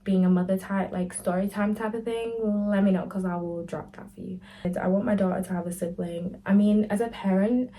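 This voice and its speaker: A woman's voice: medium pitch, fairly even intonation, fairly quick, few pauses, warm tone. A woman, chatting candidly to camera in a casual Q&A vlog, full of "like" and "type of thing". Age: 20s. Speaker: lifestyle vlogger.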